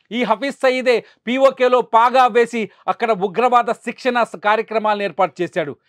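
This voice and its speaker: A male news presenter speaks in a high-pitched voice. He delivers emphatic, rhetorical commentary and repeats phrases to drive points home. The sound is very dry and close. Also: a bit bright, dry recording.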